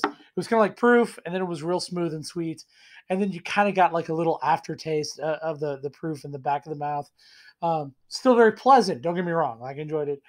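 A man in his thirties with a cold, hoarse and rambling as he tastes and reviews whiskey in a casual home vlog. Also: a little high. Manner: rambling, self-deprecating, enthusiastic. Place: small room indoors at home.

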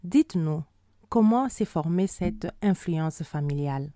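A woman hosting a radio show, asking formal, measured interview questions. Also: fairly low pitch, expressive, mellow, no room sound, studio quality. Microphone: studio microphone.

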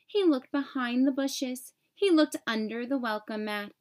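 A woman reading a children's picture book aloud as an expressive storyteller, phrasing clearly for young children. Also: sits high, a little slow.

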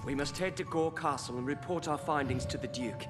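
An actor playing a formal, courteous young lord who gives orders in a dramatic game cutscene. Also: male voice, fairly high pitch, fairly quick, big-room sound, over music, close to an everyday voice. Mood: composed, commanding. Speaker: video game character.

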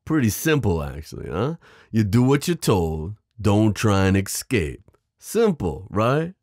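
Man acting a deep, slow-talking mafia boss. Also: sing-song, a little mellow, no echo, studio quality, exaggerated voice. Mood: firm, condescending. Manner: slow, teasing drawl addressing the listener with pet names. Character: smooth, possessive male mafia boss, menacing yet protective. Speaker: audio roleplay performer. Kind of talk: ASMR roleplay drama acting.

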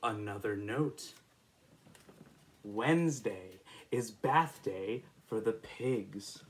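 A man in his twenties with an American accent reads a picture book to young children in a playful, expressive way, asking the listener questions. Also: on the low side, drawn-out words, a little hiss or hum. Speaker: children's story reader. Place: indoors, small room in front of a patterned cloth.

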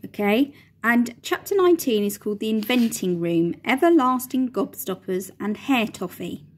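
A middle-aged woman teacher reading a children's book aloud in a lively, expressive way, recorded on a phone in a large indoor room. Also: on the high side, moderate pace. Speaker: primary school teacher. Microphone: phone front camera at close range.

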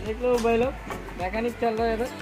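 A man's voice: very high voice, flat delivery, brisk, over loud music. A young man, presenting a vlog to camera. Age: young adult. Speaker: vlogger, host. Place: outdoors at a roadside garage.

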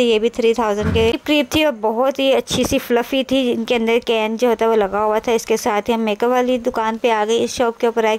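A woman's voice: on the high side, a little mellow, smooth. A woman with a Pakistani accent narrating a casual shopping vlog as a voice-over, chatty and rambling, often repeating herself. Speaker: vlogger.

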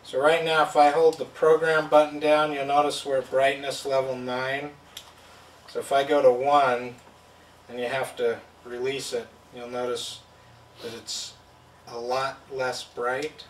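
A man's voice: mid-range voice, level tone, a little slow, recorded from a distance, a little hiss or hum. A man giving a plain, step-by-step product demonstration at a table indoors. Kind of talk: hands-on product demo. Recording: roomy sound from off-mic.

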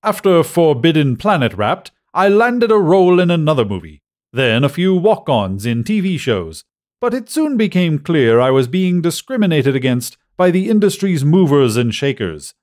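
A man's voice: on the high side, very animated, moderate pace, a little mellow, pitched above the performer's own voice. A man voicing an aggrieved film-star robot with the fruity, theatrical manner of a stage actor, in a science fiction audiobook. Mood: aggrieved, proud.

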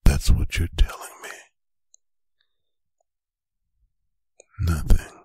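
A man playing a stern, angry, domineering boyfriend in a whispered ASMR roleplay, breathy and gravelly, with slow, deliberate, menacing phrasing. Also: a little mellow, dry recording, lightly acted. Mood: accusing, interrogating. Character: stern, angry, domineering boyfriend scolding his adult partner. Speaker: ASMR voice performer. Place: bedroom, close and dry.

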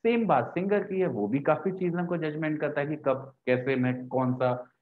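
A man's voice: fairly high pitch, moderate pace, phone-quality sound, a little hiss or hum. A young man lecturing as a teacher, explaining step by step with repeated points and questions he answers himself. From India. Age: young adult. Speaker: law teacher. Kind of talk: lecture to camera, Hindi mixed with English terms. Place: small indoor room with a whiteboard. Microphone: lapel mic.

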